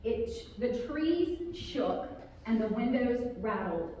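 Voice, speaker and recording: Woman, children's author, read-aloud delivery, slightly high pitch, expressive emphasis, heavy room echo, live gathering. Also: slow. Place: large reverberant room, live community gathering. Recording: very echoey hall, distant, faint noise.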